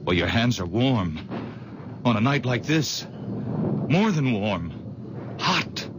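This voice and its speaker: A man's voice: mid-range voice, very animated, slow pace. An actor playing an anxious young man who stammers over a sick girl, in a 1970s radio drama. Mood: wondering. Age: young adult. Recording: old radio drama, loud storm noise. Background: thunder and storm.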